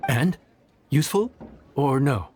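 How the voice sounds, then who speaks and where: A man's voice: mid-range voice, animated, takes it slowly, darkish tone, a little hiss or hum. A man voicing a confident, hospitable young inn chef in a video game, polite and self-assured.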